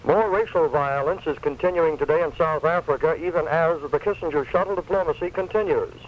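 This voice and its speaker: A man's voice: on the high side, brisk. A man reading scripted news as a radio newscaster. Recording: dull lo-fi AM radio cassette recording, faint noise.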